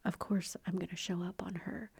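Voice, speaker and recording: Middle-aged woman, ASMR whisper, chatty and confiding, mellow tone, very breathy. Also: lowish voice, little pitch movement, moderate pace. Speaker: ASMR YouTuber.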